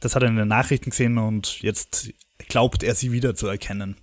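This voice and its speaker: A man's voice: on the low side, fairly even intonation, a little fast, no echo. A chatty man on a film podcast who retells plots at length and chuckles between remarks. His voice is recorded dry and close, with no room sound. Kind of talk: casual film discussion.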